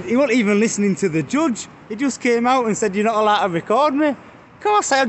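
British street vlogger in his thirties, high light voice, chatty and mocking, phone at arm's length outdoors. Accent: British English. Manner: chatty, addresses viewers as guys, mocking jabs. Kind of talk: walking selfie vlog chat. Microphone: phone held at arm's length. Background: faint street traffic.

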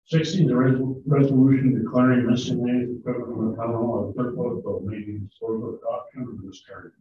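A man chairing a town board meeting, briskly reading resolutions and dollar figures in a matter-of-fact way. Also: medium pitch, steady tone. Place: large town hall meeting room. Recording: distant echoey hall audio, thin phone-like sound, faint hiss.

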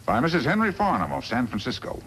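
Smug, swooping crooked lawyer villain. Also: male voice, medium pitch, sing-song, fast talker, vocal fry, a bit bright. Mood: smug scheming. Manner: smug, calculating, emphatic. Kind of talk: 1950s radio western acting. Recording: noisy vintage 1950s radio recording.

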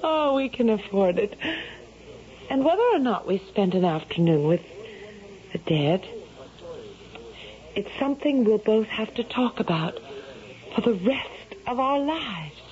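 An actress in a 1970s radio drama playing an earnest, wondering young Victorian daughter. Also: female voice, on the high side, sing-song, a little slow, long pauses, a little mellow. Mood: curious, affectionate. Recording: muffled, noisy vintage radio broadcast.

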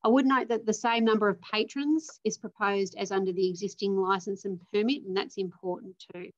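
Australian lady councillor on a zoom call, steady careful delivery, bit dull and lo-fi. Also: medium pitch. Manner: measured, reads motion wording carefully. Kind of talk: formal council meeting speech. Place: home or office room on a video call. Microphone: video call. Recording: dull lo-fi video call audio.

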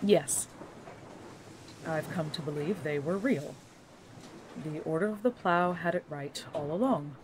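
An actress playing an earnest young scholar, speaking in formal, precise, methodical phrases for a fantasy audio drama. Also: female voice, sits low, a little slow, pauses often, darkish tone, slight background noise. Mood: intent. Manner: formal, precise, bookish wording.

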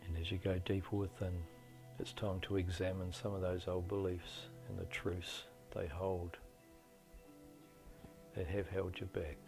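A middle-aged man leading a guided meditation in a slow, deliberate, soothing delivery with long pauses. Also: low voice, level tone, gravelly. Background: soft meditation music.

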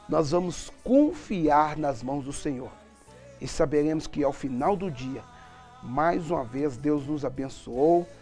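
A man in his thirties with a Brazilian accent, preaching as a pastor in an earnest, emphatic devotional style with deliberate pauses. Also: on the high side, darkish tone. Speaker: pastor, programme host. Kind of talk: televised devotional sermon and prayer. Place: TV studio, indoors. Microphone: lapel mic. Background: faint music.

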